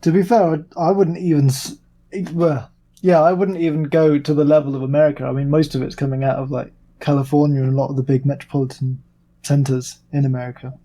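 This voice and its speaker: A man with a fairly high yet mellow voice chats as a podcast panellist. His delivery is casual and conversational. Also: flat delivery, fast. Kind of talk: casual political discussion.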